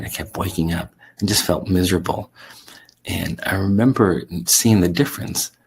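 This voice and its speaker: Gravelly low-voiced man, congested. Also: poor audio. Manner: relaxed, reflective, with many fillers and restarts. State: sick, congested with sore throat. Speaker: sleep coach. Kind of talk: solo talk to camera.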